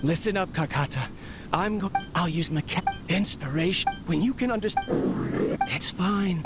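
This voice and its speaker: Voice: mid-range voice, moderate pace, lightly acted. An actor playing a young, boastful male inventor in a video game, chatting theatrically and fondly to his robot. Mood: proud, eager. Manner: theatrical, chatty, talking to a pet-like machine. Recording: music behind the voice.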